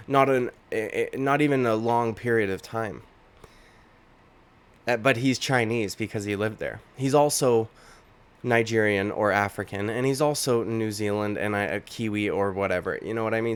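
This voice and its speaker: A man with a slightly low voice delivers an opinionated reaction commentary on MMA, indignant and repeating himself as he rants. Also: smooth. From Canada.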